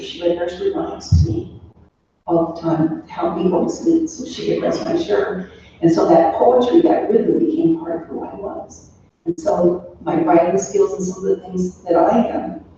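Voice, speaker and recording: A woman's voice: lowish voice, expressive, normal speed, dark tone. A woman in her sixties giving an informal, rambling remembrance full of anecdotes and trailing asides, recorded from a distance in a roomy space. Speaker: daughter giving a remembrance at a funeral. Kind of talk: informal spoken eulogy, reminiscing. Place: echoey room, away from the microphone. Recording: roomy, distant, muffled recording with faint noise.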